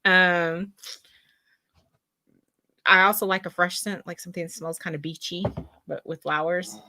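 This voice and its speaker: Chatty woman, bright dry voice. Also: fairly low pitch, unhurried, no echo. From West Texas. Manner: chatty, animated. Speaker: co-host. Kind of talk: casual live chat.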